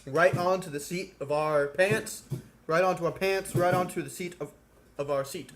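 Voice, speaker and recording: A man's voice: high voice, moderate pace. A young American man co-hosting a casual comedic chat, rambling and playfully teasing. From United States. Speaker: co-host.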